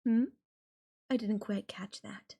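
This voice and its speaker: A woman's voice: mid-range voice, steady tone, creaky, dark tone, breathy edge, heavily acted. A woman playing an obsessive, menacing yandere girlfriend in an intimate audio roleplay, speaking to her captive lover with condescending, coaxing sweetness. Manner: sweetly condescending, coaxing, threatening, with lingering pauses.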